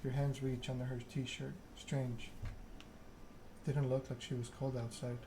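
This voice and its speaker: A man narrating a story game aloud in ASMR style, reading in a measured way with occasional stumbles. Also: mid-range voice, flat delivery, background noise.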